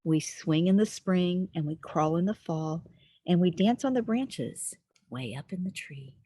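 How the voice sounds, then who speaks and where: Woman, children's storyteller, slightly low pitch, swooping lively intonation, engages the young listener with questions, outdoor recording. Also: measured pace, husky, no echo. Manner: expressive, asks the listener gentle questions. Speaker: host reading a picture book aloud. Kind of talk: animated read-aloud storytelling for young children. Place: outdoors in a backyard with trees.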